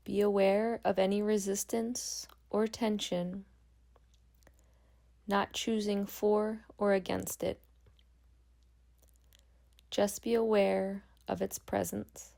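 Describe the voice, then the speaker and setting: A woman guiding a meditation as a yoga nidra instructor, speaking calmly and gently with long pauses. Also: mid-range voice, gravelly. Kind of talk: guided meditation.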